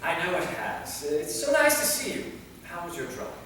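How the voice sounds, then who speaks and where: A man's voice: on the high side, big pitch swings, moderate pace, a bit bright. A man playing an anxious, awkward centuries-old vampire novelist in a staged play reading, hesitant and self-effacing. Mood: eager, nervous. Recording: echoey hall, distant mic, faint noise.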